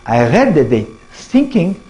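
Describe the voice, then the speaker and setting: A man's voice: on the high side, wildly swooping pitch, dark tone, soft background music. A man with an Israeli accent gives a university lecture, often asking his audience questions and restarting phrases as he goes. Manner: halting, non-native phrasing with restarts. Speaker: professor giving a lecture.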